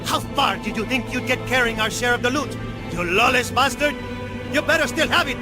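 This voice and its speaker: Brief: an outraged game bandit, extremely high and indignant, accusing, music underneath. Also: male voice, fairly quick. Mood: furious, triumphant. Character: aggrieved, furious bandit hunting his double-crossing partner.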